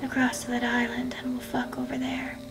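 A young woman giving an intimate literary reading in a hushed, breathy, whispery and deliberate voice. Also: on the high side, completely flat delivery, distant mic, noisy background. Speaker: reader of a book excerpt. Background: music.

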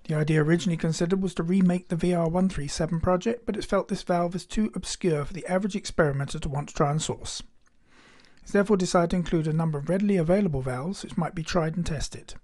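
A man's voice: on the high side, quick pace, darkish tone. A man giving scripted narration for a hobby electronics demonstration.

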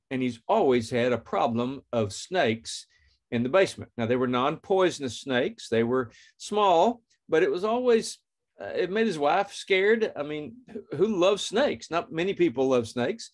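Earnest middle-aged man hosting a faith podcast. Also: fairly high pitch. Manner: earnest, plain storytelling with scripture readings. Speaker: podcast host.

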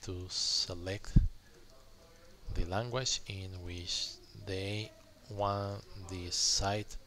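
Low-voiced man, slow halting delivery. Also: little pitch movement, pushed and bright. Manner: halting, pauses mid-sentence, checks with "right?". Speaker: software developer, tutorial host. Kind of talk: informal live coding walkthrough, thinking aloud. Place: indoors at a computer, screen recording voice-over. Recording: faint background noise.

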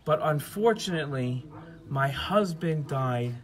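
A man's voice: fairly high pitch, expressive, unhurried. A man voicing a tearful, apologetic widowed mother of seven in a story, pleading simply and plaintively. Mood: pleading, sorrowful.

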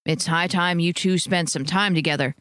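A woman voicing a steady, warm but unbending husband who speaks slowly and firmly. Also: lowish voice, no room sound, pitched below the performer's own voice, lightly acted. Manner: slow, calm, firm.

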